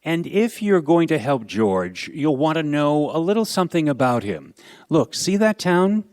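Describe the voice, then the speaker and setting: A man playing a calm, authoritative senior angel with a measured, kindly manner in a radio play. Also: fairly high pitch, dry recording. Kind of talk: live radio play acting.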